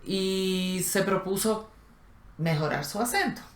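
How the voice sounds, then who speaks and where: A woman co-hosting a casual conversation, telling stories with pauses and restarts. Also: mid-range voice. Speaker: co-host of a language channel. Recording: roomy echo.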